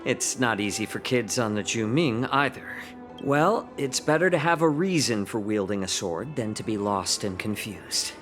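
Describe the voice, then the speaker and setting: A man's voice: on the low side, expressive, moderate pace, fairly bright tone, faint music behind. Composed, courteous male healer. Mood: reflective, courteous. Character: genial, composed male healer, wry and polite. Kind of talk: video game voice acting.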